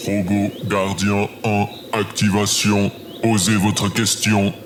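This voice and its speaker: Male actor for literal robot in audio drama, deadpan and mechanical, unhurried, slightly low register. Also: background noise. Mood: impassive. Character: robot assistant and guard, flat and literal.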